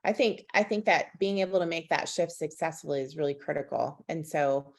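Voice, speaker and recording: A woman's voice: deep voice, steady tone, brisk. A woman hosting a conversational interview, speaking as the one who asks the questions. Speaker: interview host.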